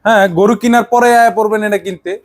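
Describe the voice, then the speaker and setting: Higher-voiced Bangladeshi man, shop banter. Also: steady tone, quick pace. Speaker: shop salesman. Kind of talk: casual bantering chat.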